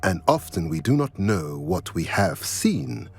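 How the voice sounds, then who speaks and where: An actor playing a wise old male centaur who reads the stars, speaking in a measured, cryptic way in a video game. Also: low voice, sing-song, vocal fry, a little mellow, heavily acted. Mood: solemn. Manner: slow, measured, solemn and riddling.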